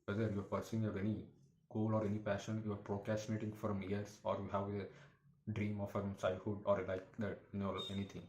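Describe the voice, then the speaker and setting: A man giving a motivational talk to camera as a YouTuber, in halting, repetitive, earnest phrasing. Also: lowish voice, monotone, darkish tone. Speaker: YouTuber, motivational content creator. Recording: echoey room with faint background noise.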